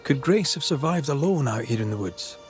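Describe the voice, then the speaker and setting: An actor playing a shrewd, persistent investigator of hauntings in a video game cutscene, probing and wry. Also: male voice, mid-range voice, lively intonation, fairly quick, faint music behind, natural voice.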